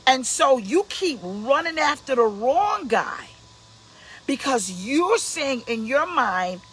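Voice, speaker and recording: Emphatic higher-voiced woman in her fifties. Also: big pitch swings, slow pace, a bit bright, husky. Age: 50s. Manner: emphatic, preachy, repeats key points. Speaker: host, pastor. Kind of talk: casual motivational relationship talk on a livestream.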